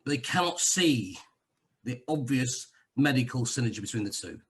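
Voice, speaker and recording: A man from England speaks in a husky, slightly rough voice at an ordinary pitch. He talks casually as a guest, circling back on himself and joking at his own expense. The tone stays conversational throughout. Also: lively intonation, moderate pace, fairly bright tone. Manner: chatty, self-deprecating, rambling with restarts. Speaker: guest sharing a testimonial. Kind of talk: casual video-call chat. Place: Cyprus.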